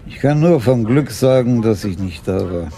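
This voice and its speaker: A man's voice: mid-range voice, animated, moderate pace, dark tone, lightly acted. A man acting a mild, wry older police commissioner talking with his wife in a German radio drama.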